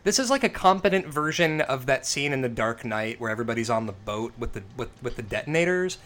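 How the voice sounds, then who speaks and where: A man whose voice is rough and worn from days of breathing smoke, talking loosely about movies. He swears freely and slips into quick acted-out quotes. His pitch sits in the middle range. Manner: animated, swearing, acts out quotes. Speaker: podcast co-host. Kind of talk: casual film podcast chat.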